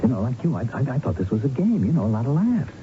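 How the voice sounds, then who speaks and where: An actor playing a pragmatic, worried accomplice in a radio drama, reasoning insistently and colloquially. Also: male voice, mid-range voice, sing-song, quick pace, over music, close to an everyday voice. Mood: worried, urging. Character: pragmatic, worried male accomplice urging a friend to forget. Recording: dull vintage radio recording.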